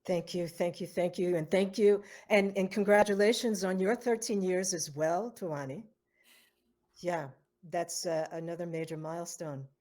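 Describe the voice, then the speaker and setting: A woman's voice: lowish voice, fairly even intonation, moderate pace, darkish tone. A woman hosting an online interview, affirming her guest with frequent fillers and repeated words. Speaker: host of foundation interview.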